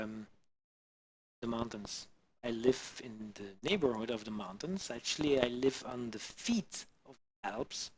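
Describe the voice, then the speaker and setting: A man from Belgium chatting casually with his live-stream viewers on a headset mic while modelling, halting and full of fillers. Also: mid-range voice, rough recording. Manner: halting, many fillers, answers chat viewers. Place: home in the Alpine region.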